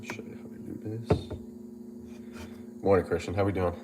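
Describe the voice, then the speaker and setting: A man's voice: low-pitched, normal speed, noisy background. A man who is a personal trainer, sounding nasal and stuffed up from a head cold, chatting casually and repeating himself on a livestream. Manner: relaxed, chatty, repeats himself, reads out viewer comments. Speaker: personal trainer and gym owner.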